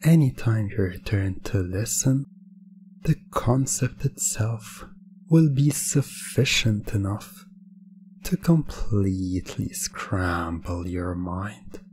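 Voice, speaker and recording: A man performing hypnosis ASMR in a soft, husky, breathy voice, speaking deliberately with a teasing, commanding manner. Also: lowish voice.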